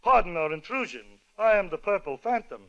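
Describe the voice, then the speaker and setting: A man's voice: sits high, very animated, brisk, a bit bright, highs cut off, exaggerated voice. A man voicing a courtly, mock-romantic bandit leader in a 1940s radio comedy parody, on a noisy old recording. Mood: smooth politeness. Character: gallant, suave, melodramatic male bandit leader in operetta.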